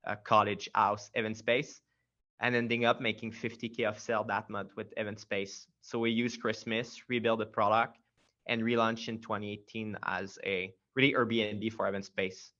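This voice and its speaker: A man's voice: lowish voice, flat delivery, normal speed, pushed and bright, dull audio. A man from Quebec with a strong Quebec French accent, a startup founder telling his story in a casual interview, rambling with many fillers and restarts.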